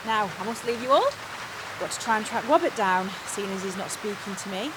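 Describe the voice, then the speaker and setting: A woman's voice: medium pitch, expressive, fairly quick, close to an everyday voice. An actress playing a bossy, self-important, gossiping woman manager in an audio comedy drama, officious and patronising. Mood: patronising, confiding. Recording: clear rain and outdoor ambience noise.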